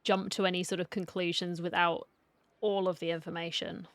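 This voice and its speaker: A woman's voice: on the low side, flat delivery, normal speed, a bit bright, no echo. Casual woman reacting to a game. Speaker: game streamer.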